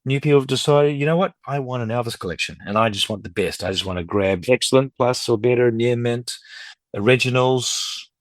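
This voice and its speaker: Deep-voiced middle-aged man, chatting casually. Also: a little fast, dry recording. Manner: talkative, rambling, anecdotal, quotes others' speech. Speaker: podcast guest, record collector and dealer. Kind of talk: casual interview chat.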